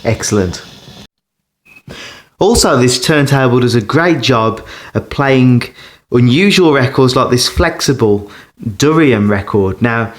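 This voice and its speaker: A man giving a casual hands-on demonstration as a record collector, pausing and hesitating as he talks. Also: mid-range voice, animated. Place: indoors beside a turntable.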